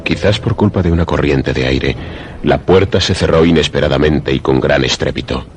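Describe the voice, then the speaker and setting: A man narrating a scripted suspense radio play in a measured, literary storytelling manner. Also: rumbling low. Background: music under the voice.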